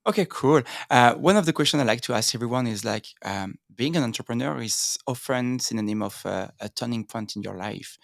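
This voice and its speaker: A man with a French accent hosts an interview show, rattling through questions quickly with a gravelly edge to his lowish voice. He talks with many fillers and restarts. The sound is dry and close. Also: animated, dry recording. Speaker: podcast host. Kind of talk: interview podcast.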